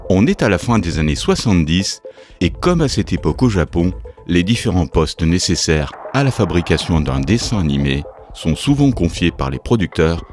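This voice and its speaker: A man's voice: deep voice, sing-song, normal speed, darkish tone. A man with a French accent from France, reading scripted documentary narration. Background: music behind the voice-over.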